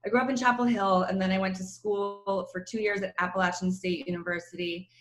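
A woman's voice: medium pitch, a little fast. Chatty woman author on an echoey line. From Croatia. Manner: chatty, laughs often, animated storytelling. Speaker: novelist guest. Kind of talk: casual phone interview. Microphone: phone call.

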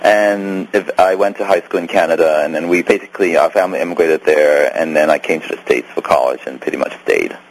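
Male guest, Hong Kong accent, deep and husky, meandering answers. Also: brisk, few pauses, poor audio. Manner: rambling, many restarts and fillers. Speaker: filmmaker guest. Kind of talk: interview.

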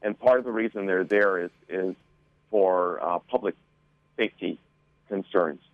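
Man, low pitch, hesitant measured phrasing, official interview guest, dry and slightly muffled radio audio. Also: no room sound. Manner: halting, measured, frequent hesitations. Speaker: school board member guest. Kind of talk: interview answers.

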